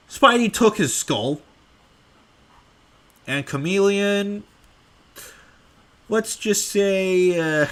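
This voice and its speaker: A man's voice: high-pitched, a bit bright. A young man casually answering fan questions at home, rambling with lots of fillers. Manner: rambling with many fillers, offhand. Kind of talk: casual live Q&A.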